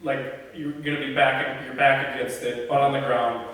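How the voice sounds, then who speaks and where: A man's voice: mid-range voice, level tone, moderate pace, pushed and bright. A man leading a film script read-through, switching between casual planning talk and reading stage directions aloud. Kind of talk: casual production discussion mixed with reading screen directions aloud. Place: indoors in a reverberant room. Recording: very echoey room, distant mic, faint noise.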